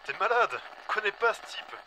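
A man acting a brash, suspicious, foul-mouthed zombie-apocalypse survivor in an audio drama, talking over a two-way radio in gruff slang. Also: high voice, sing-song, moderate pace. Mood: pressing, irritated. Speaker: voice actor. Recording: noisy two-way radio transmission.

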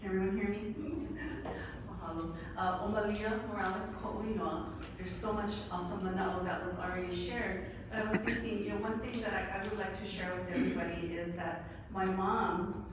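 A woman in her forties speaking as a panelist, telling a family story in a clear, earnest way. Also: mid-range voice, steady tone, normal speed, noisy background. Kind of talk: panel discussion talk. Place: large hotel meeting room. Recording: echoey hall from far off.

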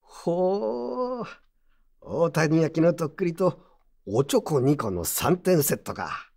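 An actor playing a gruff but kindly old pottery mender with brusque old-man speech, in a Japanese audio drama. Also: a little high, very animated, takes it slowly, darkish tone, full character voice. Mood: kindly, reflective. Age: older.

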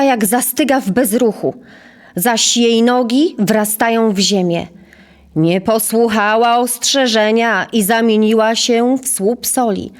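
A woman's voice: medium pitch, a little mellow. A woman narrating a scripted historical tale as the storyteller of an audio drama.

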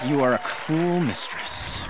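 Wry, high-voiced young superhero. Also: male voice, slow, a loud crowd, heavy background noise. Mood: bemused, wry. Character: bemused, sarcastic young male superhero. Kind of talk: video game cutscene acting.

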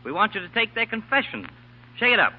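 Blustering, barking sheriff, high-pitched. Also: male voice, very animated, fast, breathy edge, heavily acted. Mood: officious. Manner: barking, officious. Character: blustering, bullying male county sheriff. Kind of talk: 1940s radio acting. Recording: dull 1940 radio broadcast recording.

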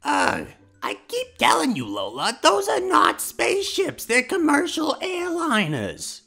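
Woman as a snarky, wildly animated cartoon cat. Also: high-pitched, unhurried, gravelly, soft background music, exaggerated voice. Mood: pedantic. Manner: pedantic, sarcastic. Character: snarky, know-it-all hairless cat, easily exasperated. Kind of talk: comic dub character acting.

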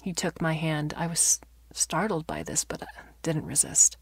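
A woman reading a short story as dramatic audiobook narration, expressive and often softening to a breathy delivery. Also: deep voice, moderate pace, dry recording.

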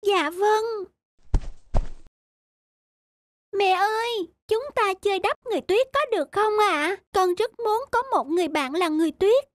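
A woman's voice: very high voice, normal speed. A woman voicing a lonely, wistful little girl with childlike pleading in a cartoon fairy tale. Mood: eager, pleading.

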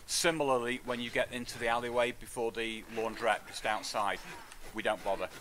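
A man's voice: medium pitch, little pitch movement, fairly quick, a bit bright. A man giving casual live gameplay commentary as he streams a game. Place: indoor room, webcam stream. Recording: game noise behind the voice.

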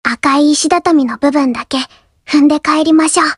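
A woman voicing a shy, polite, earnest young girl from a coffee house in an anime mobile game, soft-spoken and reserved. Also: high-pitched, normal speed, no room sound, studio quality, close to an everyday voice. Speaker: game character voice actor. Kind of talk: scripted anime mobile game character lines.

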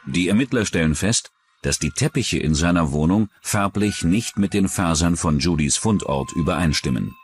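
A man's voice: low voice, expressive, normal speed. A man reading scripted true-crime documentary narration as the narrator.

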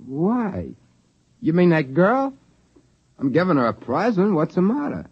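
An actor with an American accent playing a folksy, easygoing trading post manager in a colloquial 1950s radio style. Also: male voice, high voice, very animated, unhurried, slightly rough, dry recording, full character voice. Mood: friendly, puzzled. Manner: colloquial, casual. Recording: dull old 1950s radio recording.

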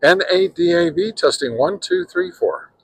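A man in his sixties hosting a casual radio-testing vlog, talking in a relaxed, halting way with frequent pauses and restarts, and counting off test calls in a steady cadence. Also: high voice, creaky, a little hiss or hum. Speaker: ham radio channel host.

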